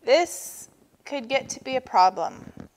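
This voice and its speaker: Fussy, worried male walrus for a storybook, voiced by a woman: unhurried, moaning, long reverb. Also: sits high, expressive, pitched above the performer's own voice. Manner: moaning, concerned.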